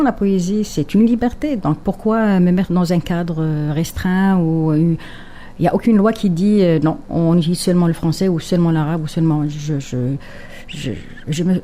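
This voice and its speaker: Female poet guest, a native Kabyle speaker from Algeria, with a low, mellow voice and a thoughtful pace. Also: a little hiss or hum. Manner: thoughtful, pausing with fillers. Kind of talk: radio interview. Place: radio studio.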